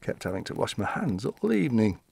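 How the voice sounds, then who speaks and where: An actor playing a gentle, wistful, fussily defensive elderly widower in an audio-play monologue, telling his story in a reflective, halting way. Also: lowish voice, huge pitch swings, gravelly, slightly rough, full character voice. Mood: exasperated.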